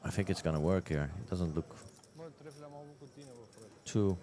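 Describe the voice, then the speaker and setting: A man's voice: low-pitched, fairly even intonation, unhurried, warm tone. A man with a Dutch accent giving casual poker commentary. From Netherlands.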